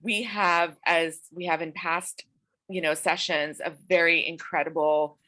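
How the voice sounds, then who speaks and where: A woman's voice: on the low side, fairly even intonation, measured pace, sharp tone. A woman moderating an online panel over a video call, talking with many fillers and restarts. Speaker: webinar moderator.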